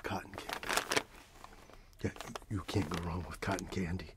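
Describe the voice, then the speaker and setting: A middle-aged man in a soft-spoken ASMR roleplay as a friendly candy shop owner, talking gently and unhurriedly close to the microphone. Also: low voice, steady tone, heavy background noise. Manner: gentle, whispery, unhurried customer service.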